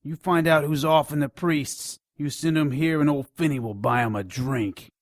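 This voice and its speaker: A man's voice: fairly high pitch, normal speed, no room sound, clean studio sound, lightly acted. A man playing a crude, taunting nonhuman pawn shop dealer in an audio drama. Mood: scornful, sly. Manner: taunting, contemptuous.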